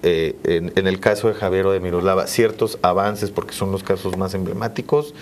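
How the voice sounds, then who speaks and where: A man's voice: low-pitched, steady tone, fairly quick, a little hiss or hum. A man with a Mexican accent hosting a conversational panel interview in a studio, repeating words as he forms his questions. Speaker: host.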